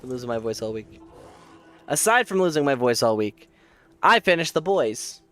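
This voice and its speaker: A man streaming a game who chats casually and jokes at his own expense with viewers, hoarse from losing his voice. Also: medium pitch, very animated, normal speed, fairly bright tone. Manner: rambling, self-mocking, joking with viewers. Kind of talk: casual chat while playing.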